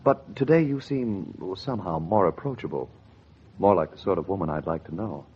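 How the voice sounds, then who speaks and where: An actor playing a courteous, earnest bachelor suitor, polite and a little hesitant, in 1940s radio acting style. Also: male voice, low voice, lively intonation, moderate pace, a little mellow, breathy edge, exaggerated voice. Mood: courteous, interested. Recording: muffled, faintly noisy 1940s radio recording.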